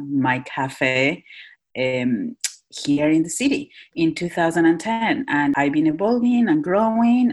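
Low-voiced Argentine woman chef. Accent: Argentine Spanish. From Northwest Argentina. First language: Spanish. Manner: hesitant, many fillers, warm laughs. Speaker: chef and cafe owner. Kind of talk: casual interview chat.

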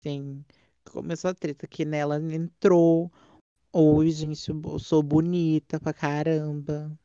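A woman's voice: low-pitched, little pitch movement, slow, mellow, clean tone, no echo, highs cut off. A Brazilian woman co-hosting a casual chat, gushing and trailing off with fillers. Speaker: podcast co-host. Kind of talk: casual chat reviewing a drag show.

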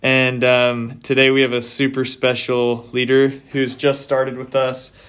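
A man's voice: medium pitch, monotone, unhurried, a bit bright. A young man hosting a podcast, upbeat and enthusiastic, with casual slang. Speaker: podcast host. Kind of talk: podcast interview.

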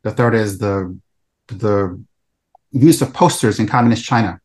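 A middle-aged man from El Salvador speaks as an interview guest, his voice sitting a bit low. He answers thoughtfully and in a conversational tone, and his phrases often fade away softly at the end. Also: unhurried. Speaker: author and researcher guest.

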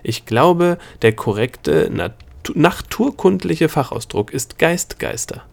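A wild little gnome with shifting scales, lecturing and cackling as he works himself into a rant, as a male narrator puts him on. His voice climbs high and loud when he gets excited. He is the guide of a storybook adventure. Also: takes it slowly, vocal fry, full character voice. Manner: cackling, lecturing, worked up into a rage.

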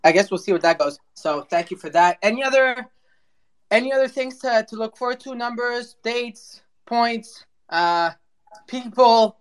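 A man's voice: very high-pitched, animated, a little slow, clean tone. Playful, informal male host. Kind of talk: casual chat, asking questions.

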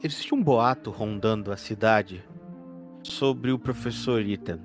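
A man voicing a polite, probing male detective in a tabletop game, formal and deliberate. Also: lowish voice, faint music behind.

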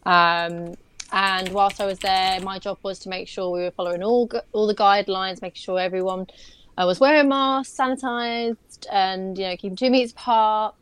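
A woman's voice: mid-range voice, steady tone, normal speed, a bit bright, smooth. A young woman, a pageant stylist, chatting casually on a video call podcast, rambling with lots of fillers and restarts.

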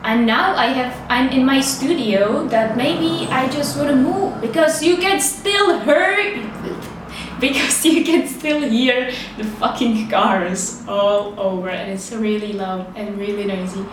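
Young woman, Czech accent, slow rambling talk, very echoey room, camera mic at a distance. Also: on the high side. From Czech Republic. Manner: chatty, rambling, laughs often, fillers like "like". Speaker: vlogger. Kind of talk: casual monologue to camera. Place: small studio with street traffic outside. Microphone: camera's built-in mic, no external microphone. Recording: roomy, distant camera mic with faint street noise.

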